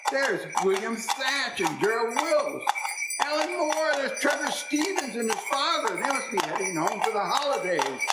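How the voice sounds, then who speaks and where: A man playing an excitable, wistful old miser in a theatrical radio play, exclaiming and stammering when moved. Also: very high voice, normal speed, heavy background noise. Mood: awed delight.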